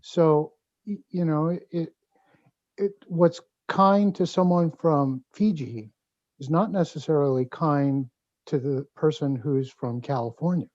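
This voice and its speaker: A man from Hawaii making a thoughtful, measured comment as an audience member on an online call with muffled audio. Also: on the high side, darkish tone, dry recording. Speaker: audience member asking a question. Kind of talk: conversational question and comment.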